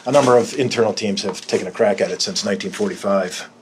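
An older man playing a frank, measured military intelligence officer in an audio drama, with a husky voice. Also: on the low side, a little hiss or hum, natural voice. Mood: earnest, matter-of-fact. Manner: direct, measured.

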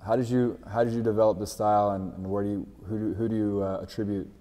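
Male interviewer, low and flat, casual and halting, reverberant gym with faint music. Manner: friendly, with frequent "uh" and repeated starts. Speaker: interview host, jiu-jitsu instructor. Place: gym with large windows, indoors.

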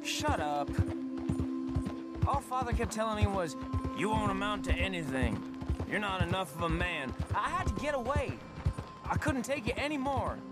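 A boyish, earnest young man of the Old West in a video game. His American voice is high for a man and sounds naive and unsure. Music is loud behind it. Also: lively intonation, normal speed. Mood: defensive, dejected. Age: young adult. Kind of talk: video game dramatic acting. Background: music, horse hooves.

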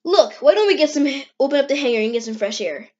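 Someone doing a cocky high-voiced hedgehog dude. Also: animated, quick pace, a little mellow. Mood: reassuring. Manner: slangy, teasing, cocky. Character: cocky teenage male hedgehog, teasing best friend. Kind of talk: amateur comic dub. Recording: dull, lo-fi screen-recorded sound.

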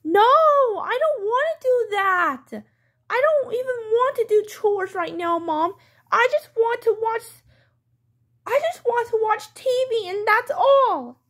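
A woman voicing a whiny, defiant young daughter in petulant, drawn-out protests, in a homemade pretend-play skit. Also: very high-pitched, expressive, fairly bright tone, pitched above the performer's own voice, heavily acted.

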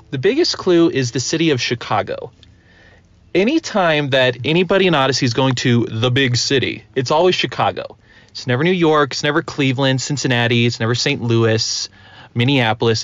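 A man's voice: medium pitch, a bit bright, no echo. A man chatting casually as a podcast host. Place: inside a car. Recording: dull lo-fi, dry.

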